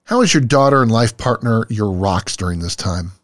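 Lively announcer-style male host. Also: fairly low pitch, normal speed, no echo. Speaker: interview host. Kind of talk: scripted interview questions. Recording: dry sound with faint music behind.

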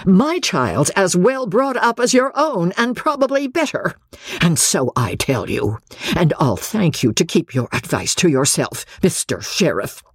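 A woman voicing an imposing, proud and sharp-tongued mother in an audiobook, haughty and clipped. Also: rumbling low, very animated, measured pace, breathy edge, dry recording, clean studio sound, full character voice. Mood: haughty indignation.

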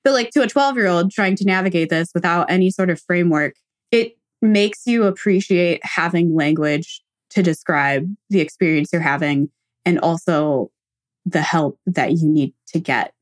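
A woman's voice: on the low side, moderate pace. Chatty American woman co-hosting a podcast. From United States. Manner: informal, chatty, frequent "like". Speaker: podcast co-host. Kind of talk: casual chat.

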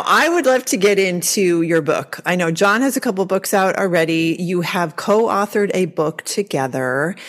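Enthusiastic woman hosting a podcast. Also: fairly low pitch. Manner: enthusiastic, gushing. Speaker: podcast host. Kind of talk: casual podcast interview.

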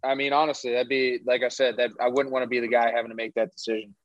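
A man co-hosting a sports podcast, chatting casually with slang and quick interjections. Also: mid-range voice, little pitch movement, no room sound. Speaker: podcast co-host. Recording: dry, dull lo-fi sound.

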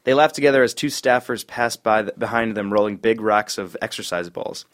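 A man's voice: fairly low pitch, a little fast. A man reading stage directions at an informal screenplay table read in clipped, matter-of-fact phrases.

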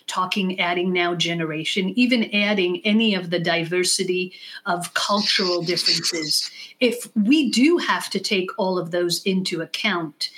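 A woman giving explanatory advice as an expert podcast guest, speaking deliberately and emphatically, often in lists. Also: lowish voice, fairly bright tone, low-quality recording. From born in the United States, raised in Israel from age six.

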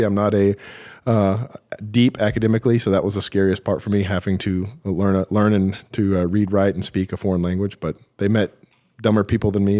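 Very deep-voiced man telling his story. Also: warm tone. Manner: halting, self-deprecating, dry humour. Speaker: podcast guest, military veteran. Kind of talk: interview storytelling.

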